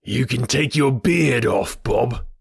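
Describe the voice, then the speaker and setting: A man's voice: medium pitch, slow pace, vocal fry, breathy edge, dry recording, studio quality, full character voice. A man voicing a brutal young male bully in an audiobook, taunting and jeering. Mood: gloating.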